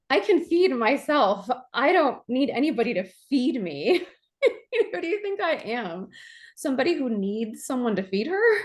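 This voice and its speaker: A woman acting a dazed, fragile young woman in a staged play reading, halting and repeating herself nervously. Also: sits high, sing-song. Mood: indignant. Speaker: actor.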